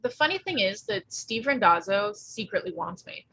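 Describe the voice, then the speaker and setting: A woman playing a wry, quick-witted teenage girl in a table read, with a deadpan, sarcastic delivery. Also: mid-range voice, vocal fry, slightly rough, dry recording, exaggerated voice, poor audio. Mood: sardonic. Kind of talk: live table read acting.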